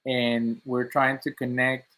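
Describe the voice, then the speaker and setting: Flat-toned Mexican-born man, halting. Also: mid-range voice. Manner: halting, many fillers and restarts. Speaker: author guest. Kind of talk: interview answers.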